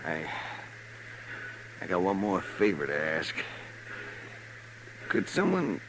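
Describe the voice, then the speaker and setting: A noisy old radio western recording, with a dying frontier drifter. He speaks slowly and weakly in labored phrases, humble and grateful. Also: deep voice, wildly swooping pitch, exaggerated voice. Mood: weak, pleading. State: weak, labored, failing speech. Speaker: radio drama actor. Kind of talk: 1950s radio western acting. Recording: noisy, hissy 1950s radio broadcast recording.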